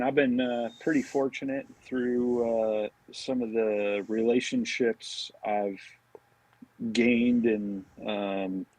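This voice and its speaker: A man's voice: lowish voice, slightly rough, dry recording, rough recording. A man chatting casually as a podcast guest, easygoing and informal. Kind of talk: casual chat.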